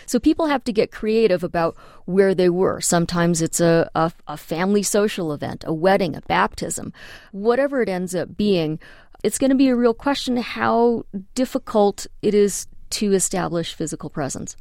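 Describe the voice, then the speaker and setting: A woman immigration attorney answering interview questions, explaining things clearly with practical examples. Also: mid-range voice, animated, moderate pace, a little mellow, no echo, clean studio sound.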